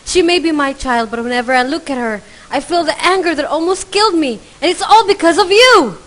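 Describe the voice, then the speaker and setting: A woman's voice: high voice, expressive, brisk. A woman playing a bitter, angry wife and mother in a student radio drama, confronting her husband. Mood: furious. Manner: accusing, shouting in an argument. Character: bitter, furious middle-aged wife and mother.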